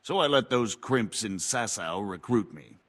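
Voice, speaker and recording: Game cutscene: a wheedling jailed knight, low voice, very lively pitch. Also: male voice, measured pace. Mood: sly. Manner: sly, wheedling, self-pitying. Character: scheming imprisoned robber knight, older man. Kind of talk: video game cutscene acting.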